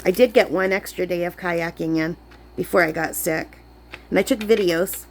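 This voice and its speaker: Sick woman, gravelly low voice. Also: normal speed, slight background noise, poor audio. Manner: chatty, rambling, many fillers. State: sick, voice lower than usual. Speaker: crafting vlogger. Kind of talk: casual chat while crafting. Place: camp cabin indoors.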